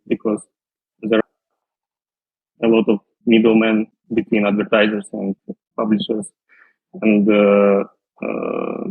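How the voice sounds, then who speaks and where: A man, answering interview questions slowly and carefully, with many fillers and restarts. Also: on the low side, breathy edge, dry recording. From Russia. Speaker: guest, data scientist. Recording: tinny phone-like line.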